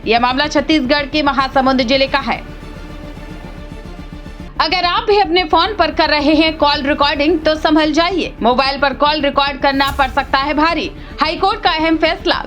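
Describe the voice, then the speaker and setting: A woman reading scripted news as a voice-over in a brisk, formal manner. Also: sits high, fairly bright tone. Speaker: news reader.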